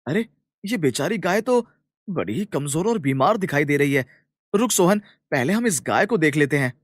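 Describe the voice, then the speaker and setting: Man acting an animated, earnest young farmer. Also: a little high, normal speed, no room sound, clean studio sound. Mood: concerned. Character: earnest young village farmers, kind, friendly, chatty. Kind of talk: Hindi cartoon story dialogue.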